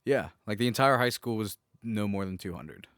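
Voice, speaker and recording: A man's voice: on the low side, expressive, normal speed, fairly bright tone, no echo, clean studio sound. An American man chatting casually as a podcast co-host, in a bantering style.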